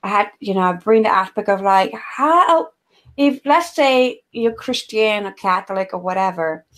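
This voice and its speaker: A woman's voice: mid-range voice, lively intonation, normal speed, a bit bright. Woman; podcast host, rambling and filler-heavy. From Ecuador. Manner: searching, rambling, filler-heavy, often laughs. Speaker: host, somatic healer. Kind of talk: conversational podcast interview.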